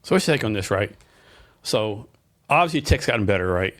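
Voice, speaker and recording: A man's voice: fairly low pitch, lively intonation, fairly bright tone. A man hosting a podcast interview, talking loosely with lots of "like" and giving encouraging "mm-hmm"s. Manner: quick, loose talk full of "like" fillers, encouraging "mm-hmm"s. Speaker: podcast host. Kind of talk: casual interview conversation.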